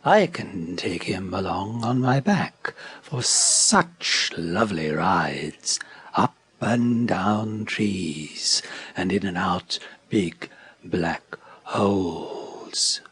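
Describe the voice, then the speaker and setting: A sinister storybook she-snake, played by a male narrator, creeps along extremely slowly in a deep, very husky hiss of a voice. She is sly and insinuating, dropping into a breathy near-whisper. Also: animated, a bit bright, heavily acted. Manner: slow, slithery, insinuating. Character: sly, sinister female snake offering to nurse.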